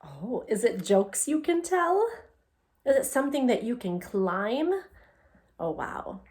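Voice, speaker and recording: Slow, higher-voiced woman reading to children. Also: sing-song, darkish tone. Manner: encouraging, asks questions and answers them. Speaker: elementary teacher reading a picture book aloud. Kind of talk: read-aloud for young children, talking to the listener.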